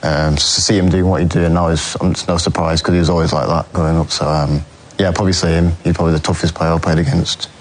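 A young man, answering interview questions in a halting way, full of ums and restarts. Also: low voice, flat delivery, rough recording. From England. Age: young adult. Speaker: footballer guest.

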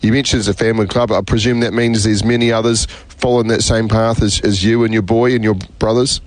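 A man's voice: lowish voice, little pitch movement, fast, rough recording. A man with a New Zealand accent hosting a sports radio show, chummy and upbeat with his guest.

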